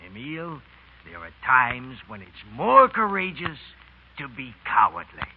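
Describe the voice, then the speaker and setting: A man's voice: medium pitch, wildly swooping pitch, a little slow. An actor playing an earnest, persuasive statesman friend with an emphatic 1930s radio delivery. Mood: urgent, pleading. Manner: emphatic, rhetorical. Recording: tinny, hissy 1930s radio recording.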